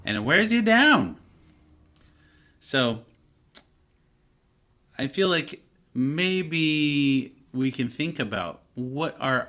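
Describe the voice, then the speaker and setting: A man's voice: mid-range voice, sharp tone. A man who teaches music, narrating a story for young children.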